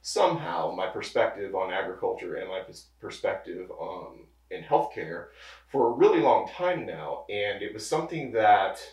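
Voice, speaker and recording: A man in his thirties speaks as an interview guest in a low voice, loose and rambling, circling back and restarting his sentences. He sits at a distance from the mic, and the room gives his voice a noticeable echo. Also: normal speed. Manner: relaxed, rambling with many restarts. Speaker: pharmacist guest. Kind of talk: casual interview.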